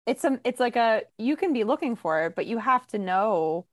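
A woman's voice: fairly high pitch, lively intonation, normal speed, dry recording, studio quality. A woman hosting a podcast, talking casually and emphatically with strong opinions and a lot of "like". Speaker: podcast host. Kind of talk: casual conversational podcast talk.

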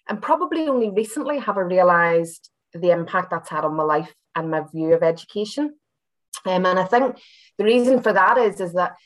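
Belfast woman with a lowish voice. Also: poor audio. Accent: Belfast Northern Irish. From Belfast, Northern Ireland. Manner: chatty, hesitant, circling back with hedges. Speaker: university senior lecturer, interview guest. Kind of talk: casual reflective personal interview.

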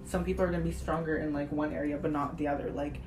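Teen female podcast co-host, native Spanish speaker, low even voice, earnest and halting, music underneath. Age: teens, high school student. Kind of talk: casual chat.

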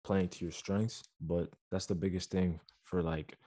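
A man with a very deep voice chatting casually, thoughtful and searching for words. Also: little pitch movement, unhurried, warm tone, no echo, studio quality. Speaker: musician in a discussion. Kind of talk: casual chat.

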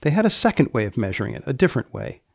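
A man hosting a podcast, giving deliberate, deadpan explanatory commentary in short measured phrases with dry asides. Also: mid-range voice, creaky, no echo. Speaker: podcast host.

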